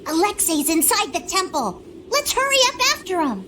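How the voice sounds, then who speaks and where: A woman's voice: very high-pitched, very animated, moderate pace, bright, forceful tone, a little hiss or hum, full character voice. A woman voicing an earnest, youthful anime-style video game character in dramatic fashion. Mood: urgent.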